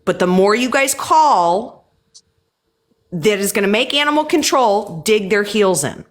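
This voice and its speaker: A woman's voice: medium pitch, lively intonation, measured pace. A woman in a heated livestream chat, speaking bluntly and lecturing listeners, with frequent swearing. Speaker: livestream guest.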